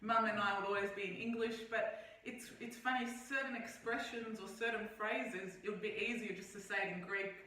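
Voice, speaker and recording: Bright woman, echoey distant mic. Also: mid-range voice, steady tone, fairly quick, few pauses. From Australia. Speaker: guest. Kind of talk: casual conversational interview.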